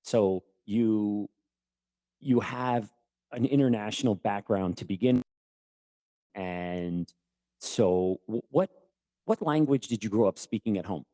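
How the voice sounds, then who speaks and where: A man's voice: on the low side, takes it slowly, long pauses, mellow, no room sound. A man hosting a casual interview podcast, talking in an enthusiastic, rambling, self-deprecating way. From United States. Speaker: podcast host and former teacher.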